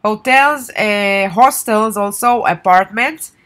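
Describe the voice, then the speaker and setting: A young woman whose first language is Hebrew chats informally to her viewers, with a voice of middling pitch. She drops plenty of fillers and restarts as she goes, and she talks the way someone does when they are pitching their own travel guide. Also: takes it slowly, fairly bright tone. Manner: chatty and informal, with many fillers. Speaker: travel guide author and tour operator. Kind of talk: casual promotional vlog.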